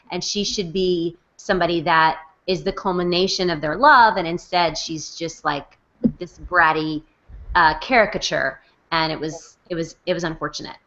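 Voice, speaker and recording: A woman co-hosts a casual chat over a video call, giving emphatic, blunt opinions. Her voice is bright and sharp, and the call audio comes through dull and lo-fi. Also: lowish voice. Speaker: podcast co-host, recap writer.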